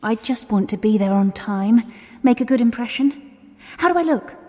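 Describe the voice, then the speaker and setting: A woman's voice: a little high, animated, normal speed, a little mellow. An actress playing an anxious, earnest young Englishwoman in a period radio drama, with an English accent and a nervous, questioning manner. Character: anxious, earnest, self-taught young working-class Englishwoman, Agnes. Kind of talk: 1920s-set radio drama acting. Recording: reverberant hall-like space.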